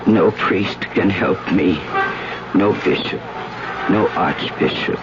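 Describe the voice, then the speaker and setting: An actress playing an anguished, worn French woman doing penance, solemn and halting, in a 1970s radio drama. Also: a bass voice, heavy background noise, heavily acted. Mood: weary, resolute. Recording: dull 1970s radio recording with music.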